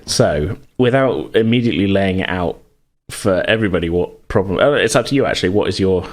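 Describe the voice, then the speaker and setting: A man's voice: deep voice, a little fast. A man narrating as game master of a tabletop roleplaying game in a conversational, improvised, hesitant way. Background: background music.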